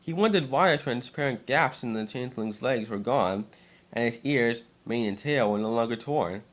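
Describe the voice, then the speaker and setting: A man's voice: medium pitch, moderate pace. A man narrating a scripted story as an amateur dramatic reading, sometimes stumbling over and repeating words.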